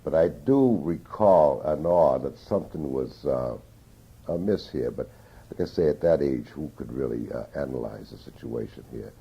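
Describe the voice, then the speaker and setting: An older man reminisces about his youth for an oral history interview, pausing often to find his words. His voice sits very low, rough and gravelly with a husky edge. The sound is dull and muffled, like an old interview tape. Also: animated. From Newton, Massachusetts. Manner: slow, reflective, with many hesitations.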